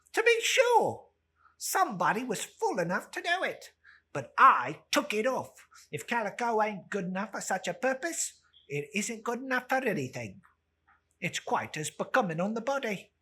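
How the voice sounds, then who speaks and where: Greedy, gloating charwoman played by a storybook reader, coarse and scornful, pitched up, forceful and rough-edged. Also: heavily acted. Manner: working-class speech, brazen, scornful. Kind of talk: dramatic Victorian storybook reading.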